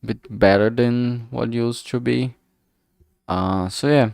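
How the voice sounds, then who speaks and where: A streamer talking casually while working, thinking aloud and muttering in a halting way. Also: mid-range voice, creaky, darkish tone, no room sound, studio quality.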